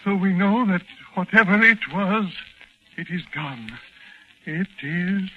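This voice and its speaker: An actor playing a cerebral professor bearing witness to horror, in a formal, measured, literary 1940s radio style. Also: male voice, high voice, expressive, narrow, tinny audio. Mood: stunned, relieved. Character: cerebral male professor, a horrified eyewitness reporter. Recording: old radio recording with faint noise.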